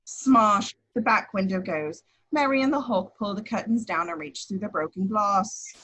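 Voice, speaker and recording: A woman's voice: medium pitch, normal speed, fairly bright tone, poor audio. A woman reading scripted action descriptions as the narrator of a screenplay table read.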